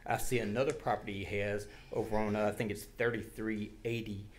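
A middle-aged man with a fairly low voice speaks insistently as a resident at a public meeting. He is at a podium microphone with some room noise behind him. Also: flat delivery, a little fast. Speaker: public commenter, local resident. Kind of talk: impassioned public comment, partly improvised. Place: large indoor council chamber. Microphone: podium gooseneck microphone.

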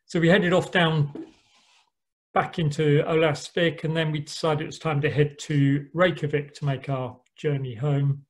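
A middle-aged man giving a relaxed slide talk about a sailing voyage, with frequent ums and dry asides. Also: a little high, measured pace. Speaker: yacht club speaker. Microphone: online call microphone.

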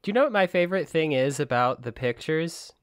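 A man's voice: on the high side, expressive, a little fast, clear, resonant tone, dry recording, clean studio sound. A man co-hosting a book-club podcast in casual, joking chat. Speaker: podcast co-host.

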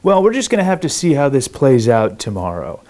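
A man's voice: mid-range voice, huge pitch swings, fairly quick, darkish tone. A middle-aged man playing a blunt, profane state politician chatting casually in a dramatized phone call. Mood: measured, frank. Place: small kitchen, indoors.

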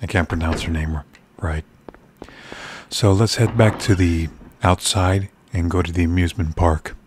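A soft-spoken male gamer who is losing his voice, giving casual commentary as he plays. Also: deep voice, steady tone, normal speed, noisy background. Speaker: video game streamer. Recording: loud game music behind. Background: horror game soundtrack.

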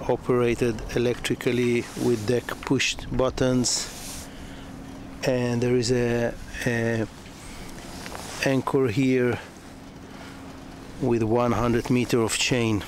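A man giving a slow, hesitant walkthrough of a sailboat, pausing often and restarting his sentences as he films. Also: mid-range voice, little pitch movement. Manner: slow, halting, with many fillers and restarts. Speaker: yacht broker. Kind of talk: boat walkthrough narration. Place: on a moored sailboat in a marina, outdoors and below deck. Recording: noisy outdoor camera audio.

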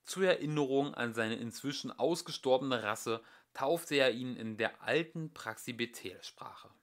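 A young man reading a comic science fiction novel aloud as an amateur home audiobook, with a dry, expressive storytelling manner. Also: mid-range voice, unhurried. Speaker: audiobook reader. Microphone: home recording.

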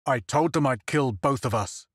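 A man's voice: medium pitch, moderate pace, a bit bright, dry recording, studio quality, natural voice. A man voicing a hunted, determined man who speaks in an urgent, fierce tone in an audiobook. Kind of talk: audiobook character voice.

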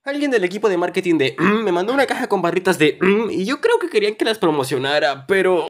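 A man tells comic stories as the narrator of an animated video. His voice sits high for a man and swings widely in pitch. The delivery is expressive and playfully exaggerated. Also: fast talker. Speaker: narrator of a fan-dubbed animated story video. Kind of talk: animated comedic storytelling narration.

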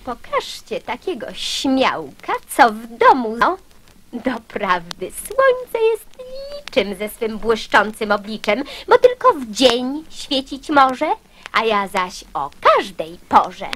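Husky, haughty little match. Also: female voice, on the high side, sing-song, slow pace, a bit bright, exaggerated voice, low-quality recording. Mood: boastful. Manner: pompous, self-important. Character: haughty, boastful female match. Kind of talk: radio play of children's verse.

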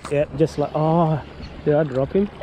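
A man giving casual running commentary while fishing on a rocky breakwall, recorded on a head-mounted action camera, breaking into excited whoops when a fish bites. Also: on the high side, unhurried. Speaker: fishing vlogger. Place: outdoors on a rocky breakwall by the sea. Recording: outdoor wind and water noise.

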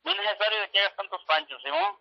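Over a dull telephone line, a man speaks in a somewhat high voice with a northern Mexican accent. His talk is casual and slangy. He hedges and sidesteps rather than answering straight. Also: big pitch swings, racing, vocal fry. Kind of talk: casual slangy phone conversation. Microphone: telephone call.

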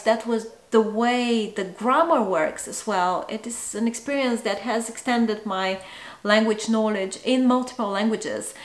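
Slow, measured woman in a roomy room. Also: mid-range voice. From Bulgaria. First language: Bulgarian. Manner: measured, thoughtful, with frequent ums. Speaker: translator vlogger. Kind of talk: talking to camera about her work. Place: small indoor room at home. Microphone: camera in front, upper-body framing. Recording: roomy echo.

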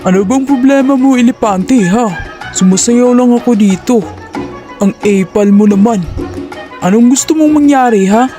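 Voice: mid-range voice, normal speed, lightly acted. An actor voicing a lazy, carefree, irritable young male grasshopper in a cartoon fable, whiny, cocky and slangy. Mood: confrontational. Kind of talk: cartoon fable character dialogue in Tagalog. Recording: loud music behind the voice. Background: music.

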